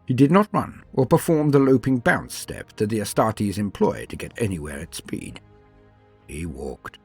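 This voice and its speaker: A man with a low, rough-edged voice narrates like a grand storyteller. His delivery is measured and solemn, rising and falling widely for emphasis. Faint music plays behind him. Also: expressive. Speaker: narrator and host of a fan fiction lore channel. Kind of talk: dramatic scripted storytelling narration.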